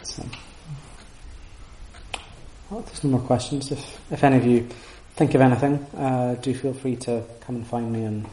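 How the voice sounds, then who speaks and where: A man lecturing to a small group, talking his way through ideas with frequent restarts and fillers. His voice is mellow and dark. The room is reverberant, with a faint hiss underneath. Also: medium pitch, steady tone, normal speed, long pauses. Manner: thoughtful, many restarts and hedging fillers. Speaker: lecturer on Sanskrit texts. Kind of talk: informal lecture with audience questions. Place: room with audience.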